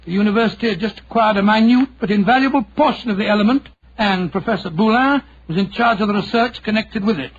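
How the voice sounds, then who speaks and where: An actor playing Doctor Watson, a genial older British gentleman storyteller, avuncular and a little hesitant, in 1940s radio drama style. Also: sits high, fast talker, breathy edge. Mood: reflective. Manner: avuncular, hesitant, old-fashioned phrasing. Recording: muffled vintage 1940s radio recording.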